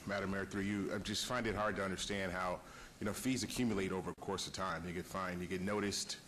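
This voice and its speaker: A man's voice: deep voice, fairly even intonation, fast, fairly bright tone, a little hiss or hum. A man council member questioning skeptically at a public hearing, stressing points with repetition. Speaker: city councilman.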